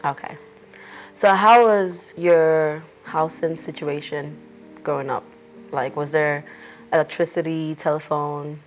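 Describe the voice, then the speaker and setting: A woman's voice: low-pitched, fairly even intonation, slow, slight background noise. A woman hosting an oral history interview, asking brief, plain questions.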